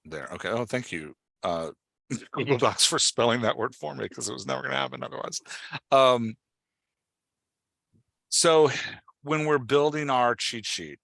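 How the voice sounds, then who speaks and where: A man's voice: on the low side, gravelly, fairly bright tone, no echo. A man coaching someone in a casual one-on-one talk, instructive and prodding with questions. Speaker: podcast host and dialogue writing coach.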